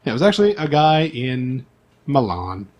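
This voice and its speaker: A man's voice: medium pitch, sing-song, normal speed, a little mellow. A man speaking as game master, explaining the game's setting to his players in an informal, conversational way.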